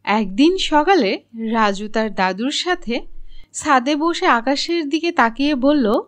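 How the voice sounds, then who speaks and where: A woman's voice: high voice, lively intonation, slow pace. A woman reading scripted storybook narration for a children's cartoon.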